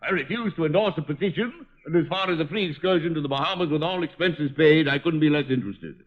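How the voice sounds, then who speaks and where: An actor playing a haughty, irritable wealthy art collector in an old radio drama, with pompous, formal, disdainful delivery. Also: male voice, on the high side, fast talker, natural voice. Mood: indignant. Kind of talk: 1950s radio detective drama acting. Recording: dull band-limited old radio recording.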